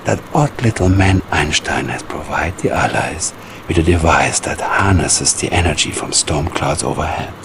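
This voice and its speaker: A smug russian mastermind for a game cutscene, super low gravelly voice, menacing. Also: male voice, normal speed, noisy background. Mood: commanding, scheming. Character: sinister, cunning Soviet psychic mastermind, smug and scheming. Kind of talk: theatrical game cutscene acting.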